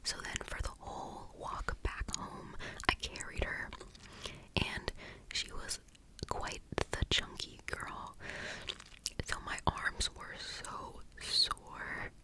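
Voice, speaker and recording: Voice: slow, sharp tone, rough recording. A person telling a story in a fully unvoiced ASMR whisper, rambling with many "like"s and tripping over words. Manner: rambling, heavy use of "like"; gets tongue-tied, restarts often. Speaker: ASMR creator.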